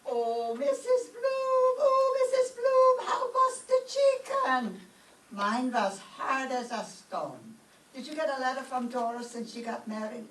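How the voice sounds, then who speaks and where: Lady acting a fussy yiddish accent mama, old radio comedy style, slow talker, echoey room. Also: high voice, animated. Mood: chatty, excitable. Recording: roomy stage pickup, distant mic, faint noise.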